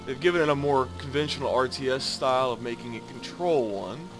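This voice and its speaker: A man's voice: medium pitch, a little fast. A man giving a casual, improvised game walkthrough as host of a gameplay video. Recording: game music loud under commentary. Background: loud game music.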